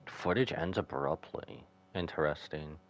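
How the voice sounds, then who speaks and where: A man's voice: extremely low pitch, measured pace. A man casually commenting on a game playthrough and reading its text aloud, with music behind him. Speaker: game streamer.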